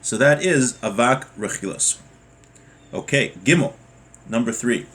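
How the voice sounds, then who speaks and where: A man's voice: medium pitch, expressive, unhurried, a bit bright, breathy edge. A man giving an informal lesson as a religious teacher, reading from a text and then explaining it with everyday examples.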